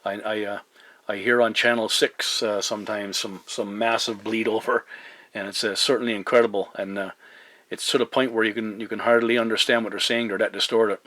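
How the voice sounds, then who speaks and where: A middle-aged man chatting as a radio operator, courteous and measured, with hesitant phrasing and operator jargon. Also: on the low side, level tone, pushed and bright, no room sound. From Newfoundland, Canada. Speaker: amateur CB radio operator hosting a video contact.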